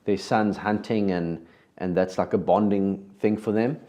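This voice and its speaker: A man's voice: sits low, fairly even intonation, a little slow, mellow. A man from South Africa with a South African accent, hosting a podcast in a casual, conversational way with frequent restarts. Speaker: podcast host.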